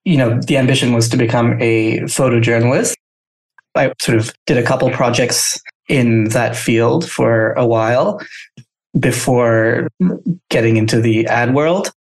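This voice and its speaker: A man's voice: medium pitch, poor audio. A man from Singapore, a guest on a podcast, telling his story casually and hedging with "you know" and "sort of". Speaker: guest, agency founder.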